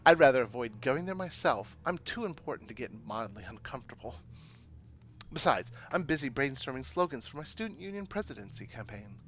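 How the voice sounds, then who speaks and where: A man's voice: medium pitch, big pitch swings, a little fast, a bit bright. A man voicing a self-important, imperious young female student in a visual novel read-aloud. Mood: smug, imperious. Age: young adult. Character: haughty, bossy young woman student obsessed with her thesis.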